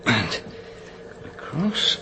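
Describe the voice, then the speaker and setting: An actor playing a fussy, eccentric male pathologist in a radio crime drama, muttering haltingly and repeating phrases. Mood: absorbed, muttering. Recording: clear background ambient noise.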